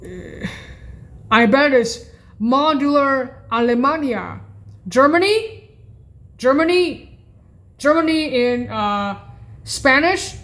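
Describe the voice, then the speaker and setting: Woman, fairly high voice, very slow pace, halting, repetitive, self-questioning, rambling demo commentary. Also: lively intonation, a bit bright. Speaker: presenter of a keyboard sound demo. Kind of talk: rambling demonstration commentary, thinking aloud.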